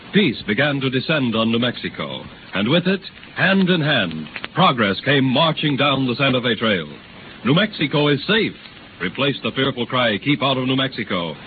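A man narrating a scripted 1930s radio drama in a grand, declamatory manner. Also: medium pitch. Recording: noisy, tinny 1930s radio recording.

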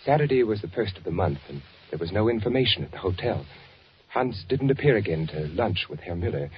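An actor playing a shrewd undercover spy posing as an architect, speaking in a clipped, deliberate way in 1940s radio drama style. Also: male voice, on the low side, sing-song, slight background noise. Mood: grave, uneasy. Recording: dull, band-limited 1940s radio recording.

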